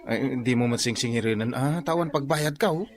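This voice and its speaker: A man's voice: medium pitch, brisk, lightly acted. A man acting a boastful, penniless man in an Ilocano radio drama, exclaiming and wheedling in an exaggerated way. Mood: pleading, evasive. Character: boastful penniless man forever cadging food and small loans.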